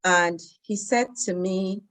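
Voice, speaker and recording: A woman's voice: mid-range voice, takes it slowly, a bit bright. Woman telling workplace stories. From Nigeria. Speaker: guest. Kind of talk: conversational interview storytelling.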